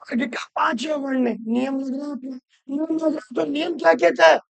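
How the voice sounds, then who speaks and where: A woman teaching Hindi grammar, hoarse from a cold, asking questions and answering them herself while repeating the rules loudly. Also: sits high, steady tone, fairly quick, no room sound. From Rajasthan. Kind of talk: classroom-style lesson.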